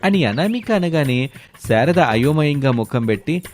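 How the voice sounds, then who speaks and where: A man's voice: fairly high pitch, animated, measured pace, darkish tone, soft background music. A man narrating a scripted moral tale as a storyteller.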